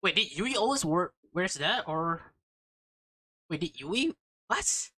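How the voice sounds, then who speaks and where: A woman doing a let's play, reading a visual novel aloud with expressive delivery, casual reacting asides and the occasional stumble over words. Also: on the low side, takes it slowly.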